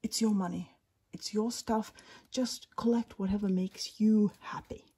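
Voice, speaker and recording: Husky whispery Finnish woman. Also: mid-range voice, fairly even intonation. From Finland. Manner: soft, often whispery, unhurried, many ums. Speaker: collector giving a tour. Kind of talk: casual narrated collection tour. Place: indoors at display cabinets at home.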